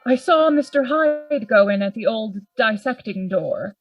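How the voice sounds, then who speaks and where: Proper worried lawyer gentleman, gothic radio drama, formal, dry slightly muffled audio. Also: fairly high pitch, lively intonation, dry recording, soft background music. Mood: troubled, resolute. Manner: formal, measured, earnest. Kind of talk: Gothic radio play acting.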